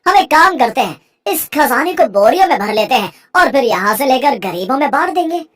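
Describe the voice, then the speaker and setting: An actor plays a scheming sorcerer in a cartoon folk tale, posing as a sweet-talking uncle. The voice is pitched high and swings about widely, coaxing and persuading with an ingratiating manner. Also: big pitch swings, fairly quick, fairly bright tone, full character voice. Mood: sly coaxing. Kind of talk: animated Hindi moral story acting.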